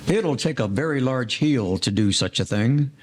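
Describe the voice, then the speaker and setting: A man as a blunt, animated old-time mobster. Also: medium pitch, moderate pace, close to an everyday voice. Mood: earnest. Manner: blunt, grumbling, slangy. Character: blunt, soft-hearted 1940s gangster sidekick, male. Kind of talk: audio play character acting.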